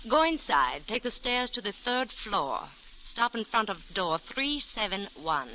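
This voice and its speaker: A woman's voice: medium pitch, very animated, measured pace, sharp tone, low-quality recording. An actress playing a cool, threatening female spymaster in an old radio drama, precise, formal and imperious. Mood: cool, commanding. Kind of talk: 1940s radio detective drama acting. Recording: old radio recording with faint hiss.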